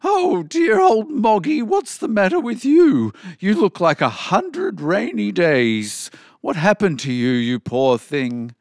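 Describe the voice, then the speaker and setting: Man voicing an eager old donkey, high and animated. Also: takes it slowly, no echo, studio quality, exaggerated voice. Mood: sympathetic. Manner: exclamatory, enthusiastic. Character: cheerful, exclaiming old male donkey, encouraging and eager. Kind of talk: animated children's storybook character voice.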